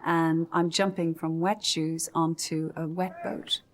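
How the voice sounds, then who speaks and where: An actress telling stories from a film set in an interview, animated and full of small fillers. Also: female voice, sits low, slow pace, slight background noise. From Ireland. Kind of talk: reflective interview recollection.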